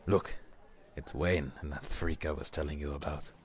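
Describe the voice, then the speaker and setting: A man playing a very deep, gravelly teenage bully. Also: little pitch movement, moderate pace, some chatter behind. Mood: brooding. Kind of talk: fan audio drama acting.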